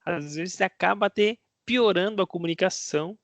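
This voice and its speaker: Brazilian guy teaching spanish on his channel, kinda high voice w lots of fry, chatty, keeps asking "right?". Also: moderate pace, a bit bright, dry recording. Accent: Brazilian Portuguese. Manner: conversational, asks himself rhetorical questions, tags lines with "né?". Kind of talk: casual explanatory video talk to viewers.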